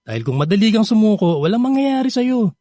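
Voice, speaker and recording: Radio drama lead: earnest lovesick young Filipino man, very animated, soft-toned, sweet-talking. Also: high voice, fairly quick, dry recording, studio quality. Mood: determined. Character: earnest, lovestruck young male suitor, stubbornly hopeful.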